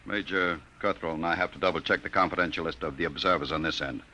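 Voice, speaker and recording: An actor playing a brusque, commanding middle-aged army general in a 1950s radio drama, with clipped military delivery. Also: male voice, sits low, fast, pushed and bright. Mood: brisk, firm. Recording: noisy, muffled 1950s radio recording.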